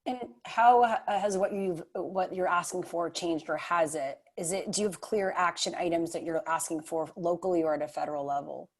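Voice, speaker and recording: A woman hosting a livestream panel, asking gentle, encouraging questions. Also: lowish voice, steady tone, normal speed, few pauses. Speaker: host. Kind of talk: interviewing guests on a livestream. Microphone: video call.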